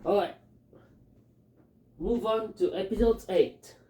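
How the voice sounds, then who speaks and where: Slow, halting man with a Filipino accent. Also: high voice, dark tone, reverberant. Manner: halting, hesitant, many fillers. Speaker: fan commentator. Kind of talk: casual theory talk.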